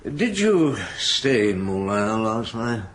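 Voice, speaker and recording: Low-voiced, probing police inspector. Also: male voice, sing-song, takes it slowly, slight background noise. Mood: measured, probing. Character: calm, probing middle-aged male police chief inspector. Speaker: detective. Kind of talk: radio drama acting.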